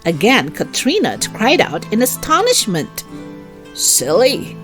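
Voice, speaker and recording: A woman narrates a children's story at an unhurried pace. Her pitch moves in lively, expressive swings, and music plays clearly behind her.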